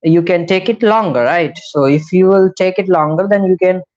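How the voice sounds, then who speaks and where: A man's voice: sits high, normal speed, darkish tone. A young man with an Indian English accent, teaching a beginner English lesson on a live stream and explaining each step, often asking "right?". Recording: slightly dull lo-fi live stream audio.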